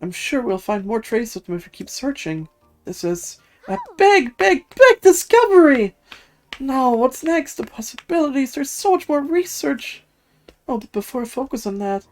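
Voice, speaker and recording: A woman voicing an excitable, curious researcher, reading video game dialogue aloud with eager exclamations. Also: a little high, moderate pace, faint music behind, pitched above the performer's own voice.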